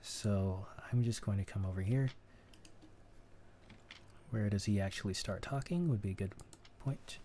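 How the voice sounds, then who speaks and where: A man's voice: fairly low pitch, a little slow, pauses often, warm tone, slight background noise. A man teaching sound editing in a casual, think-aloud tutorial, with keyboard clicks behind him. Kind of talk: screen-recorded tutorial.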